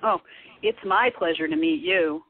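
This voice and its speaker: Woman acting a wry, husky, wisecracking sister. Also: deep voice, normal speed. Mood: welcoming, amused. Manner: sardonic, teasing. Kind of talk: radio play acting. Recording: tinny phone-like lo-fi audio.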